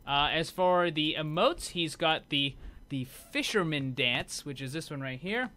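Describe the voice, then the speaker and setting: A man's voice: a little high, measured pace, pushed and bright. A man giving casual, unscripted commentary as host of a gaming video. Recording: clear background noise.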